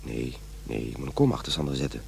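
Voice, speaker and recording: A man's voice: very deep voice, quick pace, creaky, a little mellow, slight background noise, exaggerated voice. An actor playing a fussy, self-important man who dictates his journal and mutters corrections, in a radio play. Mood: musing, fussy.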